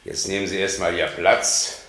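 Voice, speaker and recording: A man's voice: low voice, flat delivery, moderate pace, a bit bright, slight background noise. A man playing a stolid, bureaucratic police inspector in a German radio play, speaking with dismissive, pedantic authority. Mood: stern, unhurried.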